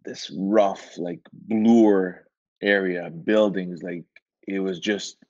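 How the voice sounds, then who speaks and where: A man from Iran, a guest in a casual interview, telling his story in a relaxed, rambling way with lots of filler words. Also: low voice, slow. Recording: dull lo-fi sound.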